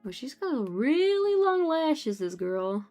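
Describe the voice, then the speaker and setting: A woman's voice: high voice, sing-song, slow pace, dark tone, soft background music. Giggly Dutch woman streamer. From Netherlands. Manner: chatty, giggly, trails off mid-sentence. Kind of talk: casual chat with chat while drawing.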